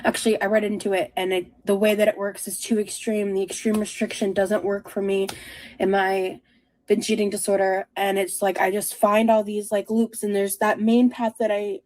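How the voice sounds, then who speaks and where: A woman vlogger talking confessionally about herself in a halting, introspective way. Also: medium pitch, flat delivery, a little mellow, rough recording. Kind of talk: confessional personal talk.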